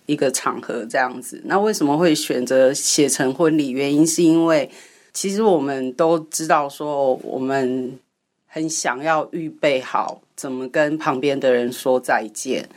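A woman's voice: a bass voice, unhurried, darkish tone. A woman speaking as an interview guest, explaining her writing in a conversational, storytelling way. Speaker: guest, radio drama writer.

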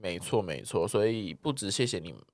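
Animated, low-voiced man chatting. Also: brisk, no room sound, studio quality. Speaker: podcast co-host. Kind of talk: casual chat, replying to listener comments.

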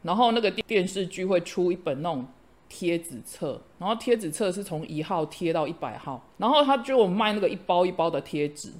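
A middle-aged woman, a host and teacher, chatting casually and telling lively stories with frequent laughter. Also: on the low side, brisk. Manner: animated storyteller, laughs often. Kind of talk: casual chat.

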